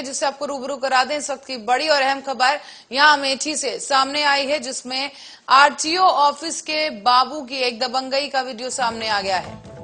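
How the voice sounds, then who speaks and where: A woman anchors a TV news bulletin. Her voice sits fairly high, and she delivers items in a formal bulletin style. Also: bright, forceful tone. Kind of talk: Hindi TV news bulletin reading.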